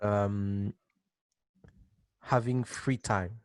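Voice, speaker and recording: A man in his twenties, a dance teacher, answering interview questions with halting restarts and frequent laughs. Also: fairly low pitch, steady tone, a little mellow, no echo. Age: 20s.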